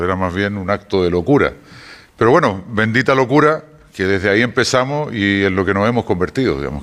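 A man from Chile with a Chilean accent tinged with Portuguese, answering as an executive in a radio interview in a measured, explanatory way. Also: lowish voice, fairly bright tone. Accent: Chilean with Portuguese touches. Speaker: energy company executive guest.

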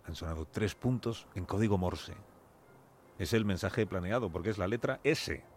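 Low-voiced Spanish man narrating a radio story. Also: normal speed, background noise. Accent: Castilian Spanish. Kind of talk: dramatic scripted storytelling narration.

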